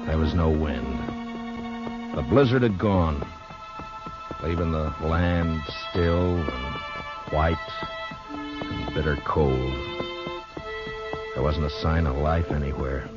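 Very deep, grave frontier lawman. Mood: somber. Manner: halting, understated. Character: grave, gentle frontier lawman of the Old West. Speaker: radio drama lead and first-person narrator. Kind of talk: 1950s radio western acting. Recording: dull old 1950s radio recording, music behind.

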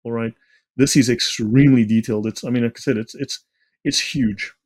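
A man's voice: medium pitch, fairly even intonation, fairly quick, a little mellow, breathy edge. A man speaking as an interview guest, giving explanatory commentary in an assertive, didactic way with emphatic points. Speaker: interview guest, religion researcher.

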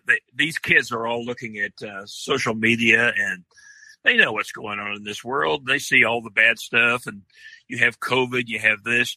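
An older man with a deep, loud voice, talking slowly in a podcast interview with many pauses and restarts. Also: dry recording, poor audio. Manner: slow, halting, many fillers and restarts. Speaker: guest, outdoor writer.

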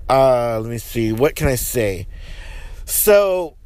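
A man hosting his podcast in a laid-back, rambling way, a little sniffly and hoarse from a cold, talking while driving. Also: medium pitch, darkish tone. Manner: rambling, laid-back, with sighs and filler words. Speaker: podcast host. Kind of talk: casual solo monologue. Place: in a car, driving at night. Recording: car noise in the background.

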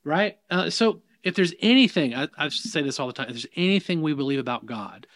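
A man's voice: on the high side, expressive, a little fast, fairly bright tone, no room sound. A middle-aged man with a Southern US accent, a minister talking as an interview guest in an emphatic, preacherly way with many restarts. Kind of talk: casual interview conversation. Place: in person, New York.

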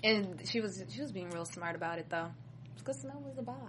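A woman's voice: medium pitch, expressive, a little fast, crowd noise. A woman chatting casually as one of the hosts on an after-show panel. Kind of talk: casual chat.

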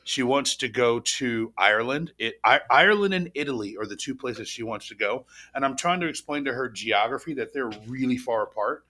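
A man from the US west coast in his thirties, co-hosting a podcast in relaxed casual chat. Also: medium pitch, fairly quick, hardly any pauses. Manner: relaxed, chatty, repeats words while starting sentences. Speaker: podcast co-host.

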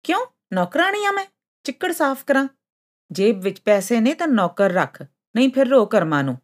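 Woman voicing a haughty, shrill-pitched, scolding young girl. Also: sing-song, moderate pace. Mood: indignant scolding. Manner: indignant, scolding, boastful. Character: haughty, hot-tempered young female ginger root, scornful and boastful.

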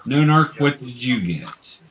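A man's voice: medium pitch, fairly even intonation, unhurried, a little hiss or hum, poor audio. A man running a tabletop roleplaying game as game master, explaining the steps patiently and casually to players.

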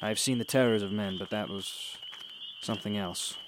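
A man's voice: fairly low pitch, normal speed, noisy background, close to an everyday voice. Man acting a wry, cryptic adventurer. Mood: calm, cryptic. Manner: philosophical, teasing, unruffled. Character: cryptic, wry, confident male adventurer and mentor. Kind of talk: radio drama acting. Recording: music behind the voice.

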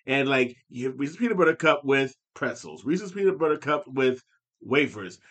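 A man's voice: medium pitch, expressive, normal speed, a bit bright. A man co-hosting a podcast, rambling loosely in casual chat with lots of restarts. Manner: rambling, many fillers and restarts. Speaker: podcast co-host.

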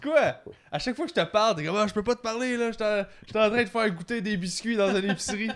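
A man with a Quebec French accent hosting a podcast, chatting casually and teasing his guests in a relaxed, slangy way. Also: high-pitched, fast talker. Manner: laid-back, teasing, slangy. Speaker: podcast host. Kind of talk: casual chat.

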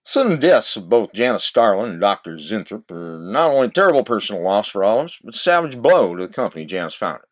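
Smug executive, ruthless, pompous, lively pitch, campy radio play. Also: male voice, fast talker, few pauses. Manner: pompous, sardonic. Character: smug, ruthless corporate boss. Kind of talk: campy audio drama acting.

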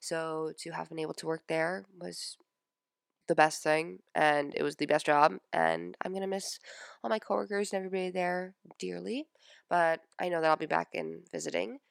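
Stuffy-nosed young woman with a creaky voice. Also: lowish voice, steady tone, moderate pace, no echo, clean studio sound. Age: 20s. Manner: chatty, lots of "like" and "um". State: stuffy nose from a sinus infection. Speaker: podcast host. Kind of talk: casual personal podcast chat.

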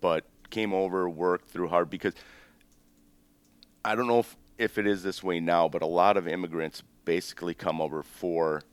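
Very deep-voiced man in his fifties. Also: moderate pace, clean tone, dry recording. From born in Greece, raised in the United States. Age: 50s. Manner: relaxed, rambling, with frequent ums and self-corrections. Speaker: restaurant owner, guest. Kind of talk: casual interview storytelling.

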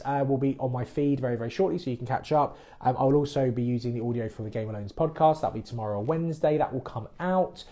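A man's voice: medium pitch, dark tone. A man hosting a casual podcast, answering listeners' mortgage questions in a chatty, plain-spoken way. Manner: chatty, explains things plainly. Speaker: mortgage broker and podcast host. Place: based in the Midlands.

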